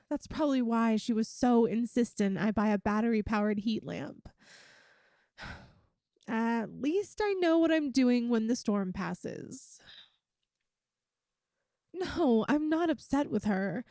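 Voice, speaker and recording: A woman's voice: on the high side, expressive, takes it slowly, long pauses, warm tone, no echo, clean studio sound. A woman voicing a playful, affectionate young lamia best friend in an intimate, chatty ASMR roleplay. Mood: warm, reflective. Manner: teasing, chatty, giggly. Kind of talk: ASMR roleplay, one-sided intimate conversation.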